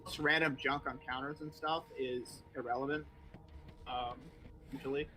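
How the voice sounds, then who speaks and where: A man's voice: mid-range voice, normal speed, a little hiss or hum, rough recording. Easygoing man explaining a game. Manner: relaxed, rambling, lots of fillers. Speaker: streamer host. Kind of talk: casual gameplay explanation.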